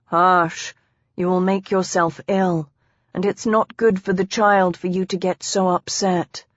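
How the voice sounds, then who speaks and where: A woman's voice: fairly low pitch, flat delivery, measured pace, no room sound, natural voice. A woman voicing a tender, teasing husband in a historical romance audiobook, with formal old-fashioned phrasing. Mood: soothing. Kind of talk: audiobook character dialogue. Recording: dry, slightly dull close recording.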